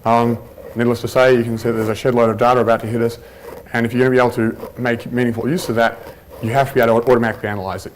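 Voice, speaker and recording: Relaxed man giving a talk. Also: mid-range voice, slight background noise, low-quality recording. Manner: relaxed, chatty, frequent ums and restarts. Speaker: conference presenter. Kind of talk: informal conference talk with anecdotes. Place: conference room at a workshop. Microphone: handheld microphone.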